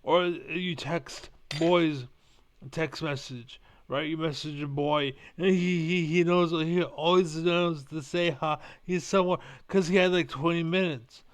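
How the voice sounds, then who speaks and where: A man's voice: fairly high pitch, fairly even intonation, unhurried. A young man with Spanish as his first language, rambling bluntly in a casual monologue and swearing now and then. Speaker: vlogger.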